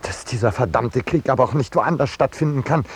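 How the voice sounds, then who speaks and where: An actor playing a peaceable, unworldly landscape painter in a 1950s German radio play, speaking in a dry, polite, reserved way. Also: male voice, mid-range voice, expressive, brisk, husky. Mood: flustered. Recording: noisy 1950s radio drama recording.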